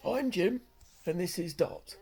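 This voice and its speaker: A performer playing an odd, earnest people-watcher named in an amateur radio play, with a husky, creaky voice. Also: mid-range voice, lively intonation, measured pace, a little mellow. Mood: earnest, matter-of-fact.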